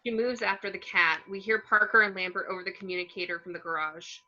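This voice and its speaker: A woman's voice: mid-range voice, steady tone, fast, pushed and bright. A woman narrating stage directions from a screenplay in short clipped phrases, on a lo-fi recording. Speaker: narrator reading action descriptions.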